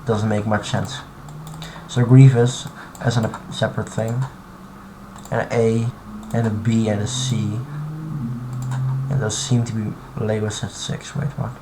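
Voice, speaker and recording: A man streaming live from his computer, talking through his modding in a halting, thinking-aloud way full of restarts. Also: on the low side, little pitch movement. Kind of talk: casual live-stream commentary while modding. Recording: clear background noise and typing.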